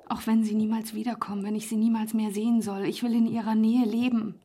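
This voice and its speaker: An actress playing a grieving, exhausted young woman desperately in love in a radio drama, speaking in a subdued, halting, breathy way. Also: on the high side, level tone, warm tone. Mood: desperate, pleading. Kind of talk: German radio drama acting.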